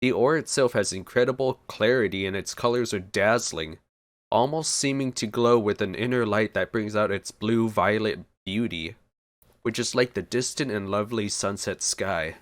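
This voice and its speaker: A man's voice: lowish voice, normal speed, no room sound. A man giving casual let's play commentary as a streamer, sometimes reading game text aloud. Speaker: gaming streamer.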